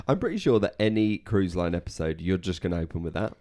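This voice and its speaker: A man's voice: deep voice, big pitch swings, brisk, mellow. A young man co-hosting a podcast, chatting casually with self-deprecating jokes. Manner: joking, self-deprecating banter. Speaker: podcast co-host. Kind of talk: casual chat.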